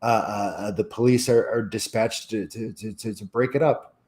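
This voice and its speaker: A man's voice: fairly low pitch, fairly even intonation, normal speed, darkish tone. A man co-hosting a livestream who talks earnestly about politics, with frequent stammers and restarts. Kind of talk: casual livestream discussion.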